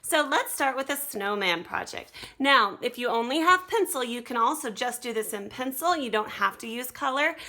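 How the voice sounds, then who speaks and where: A woman's voice: sits high, pushed and bright. A woman teaching an art activity to children step by step, speaking directly to her young viewers in an encouraging, instructional way. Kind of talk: step-by-step drawing lesson for children.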